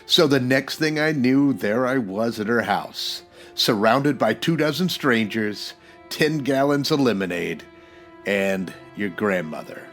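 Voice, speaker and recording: Casting: hardboiled private eye played by a man, middle-pitched, very animated, wry tough guy for radio comedy. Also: measured pace, soft background music. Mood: wry narrating. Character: hardboiled male private eye, wry, brusque, world-weary. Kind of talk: old-time radio detective parody.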